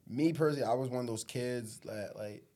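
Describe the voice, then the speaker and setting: A young man born in Africa and raised in Connecticut, a college football player telling stories in a laid-back, halting, slangy way as a podcast guest. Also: mid-range voice, darkish tone. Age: young adult. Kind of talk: casual chat.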